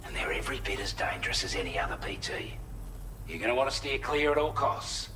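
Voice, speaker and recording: An actor with an Australian accent playing a plain-spoken, earnest shelter resident briefing a courier in a video game. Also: male voice, fairly low pitch, lively intonation, fast, bright, forceful tone, slight background noise. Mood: matter-of-fact, cautionary. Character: matter-of-fact male shelter resident, allied quartermaster briefing a courier. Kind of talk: video game cutscene dialogue, mission briefing.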